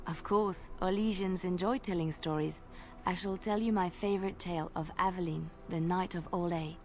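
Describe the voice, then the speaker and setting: A woman voicing a graceful female bard in a video game, telling tales in a measured, lilting way. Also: on the low side, fairly even intonation, darkish tone, natural voice. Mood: storytelling. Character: graceful female bard, gentle devout storyteller. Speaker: game character. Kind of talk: voiced game dialogue.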